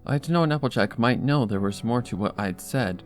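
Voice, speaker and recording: A man's voice: mid-range voice, lively intonation, moderate pace, faint music behind. A man narrating a dramatic first-person story from a script. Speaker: fan fiction narrator.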